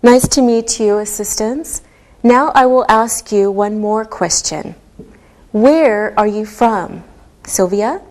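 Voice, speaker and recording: A woman teaching a beginner English lesson, speaking deliberately and enunciating every word clearly. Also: medium pitch, a little mellow. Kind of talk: slow, scripted ESL lesson delivery.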